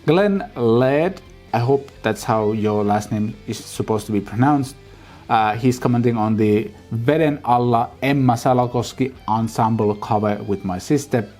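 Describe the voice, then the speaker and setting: Mellow-voiced man in his thirties. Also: medium pitch, measured pace, faint music behind. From Finland. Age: 30s. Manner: relaxed, chatty, appreciative. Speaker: music channel host. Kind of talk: casual vlog answering viewer comments.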